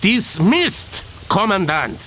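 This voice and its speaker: An actor playing a haughty German general in a video game, formal and threatening, with a German accent. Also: male voice, high-pitched, big pitch swings, pushed and bright, slight background noise, exaggerated voice. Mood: cold menace. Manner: formal, slow, threatening, commanding. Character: haughty menacing German general addressing prisoners. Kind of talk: video game cutscene acting.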